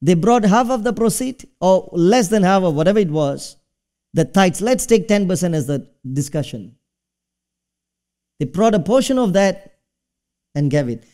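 A man's voice: sits high, lively intonation, moderate pace, pauses often, mellow. A middle-aged man from Singapore, preaching a sermon from a church stage and asking the congregation questions. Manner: conversational preaching, asks the audience questions. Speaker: pastor.

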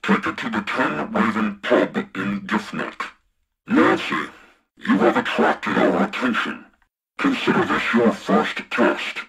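An actor playing a menacing, taunting spy-ring boss in a video game, with a husky, gravelly voice. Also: male voice, on the low side, wildly swooping pitch, slow pace, fairly bright tone, full character voice. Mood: commanding. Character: sinister male spy-ring boss giving threatening orders. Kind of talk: scripted video game voice acting.

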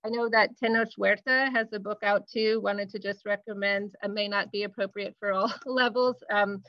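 A woman's voice: medium pitch, steady tone, normal speed, dry recording, muffled recording. A woman teacher sharing ideas in a webinar discussion, hesitant with many restarts.

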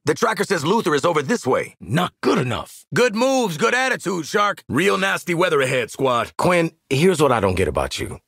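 An actor with an American accent playing a gruff, sardonic assassin in a video game, blunt and slangy, with a raspy voice. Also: male voice, fairly high pitch, big pitch swings, normal speed, hardly any pauses, fairly bright tone, no echo, studio quality, exaggerated voice. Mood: confident, dry. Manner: brash, blunt, street-smart slang, barking callouts. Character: gruff, no-nonsense male assassin, sardonic squad sharpshooter. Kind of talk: video game combat voice lines.

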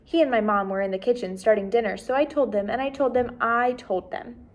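A woman in her twenties reading a children's book aloud as a teacher, with expressive, clearly paced narration. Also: on the high side, a little fast. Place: small decorated indoor room.

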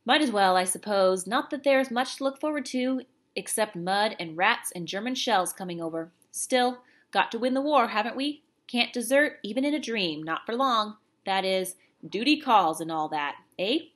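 A woman's voice: mid-range voice, animated, moderate pace, a bit bright. A woman voicing a cheery male soldier orderly, hearty and slangy in his talk.

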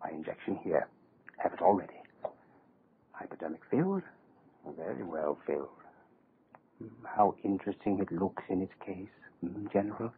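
An actor playing a suave, power-hungry schemer in a 1930s radio horror drama, speaking with pompous, quietly menacing oratory. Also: male voice, sits low, animated, moderate pace, long pauses, a little hiss or hum. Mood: smug menace. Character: suave, power-hungry male dictator-in-waiting, grandiose schemer. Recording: muffled old 1930s radio recording.

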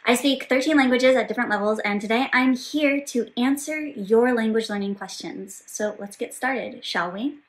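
A woman in her thirties, the resident polyglot, answering viewer questions in a clear, explanatory way from a home office. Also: on the high side, normal speed, few pauses, a little hiss or hum. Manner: clear, explanatory, gestures with both hands. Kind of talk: scripted Q&A to camera. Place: home office with bookshelves and world map, indoors.

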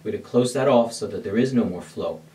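A middle-aged man teaching an HVAC lesson at a glass board in a dark studio, explaining each step and answering his own questions. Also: lowish voice, quick pace, far from the mic. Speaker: HVAC instructor. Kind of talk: whiteboard technical lesson.

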